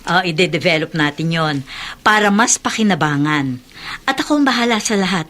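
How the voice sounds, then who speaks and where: A woman's voice: lowish voice, expressive, a little fast, fairly bright tone, slight background noise. An actress with a Filipino accent playing a dedicated, compassionate, middle-aged woman doctor in a radio drama. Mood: encouraging. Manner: earnest, reassuring.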